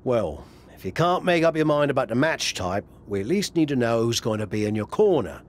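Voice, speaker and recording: An actor playing a playful, scheming wrestling buddy in a video game cutscene, with a jokey, casual manner. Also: male voice, mid-range voice, big pitch swings, brisk, slight background noise, natural voice. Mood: casual coaxing.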